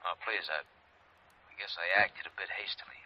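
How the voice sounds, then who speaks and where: An actor playing a menacing, secretive young man in his twenties, hushed and halting, through a telephone filter in radio noir. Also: low voice, steady tone. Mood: tense, pleading. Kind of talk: 1950s radio noir acting. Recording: dull vintage radio telephone effect.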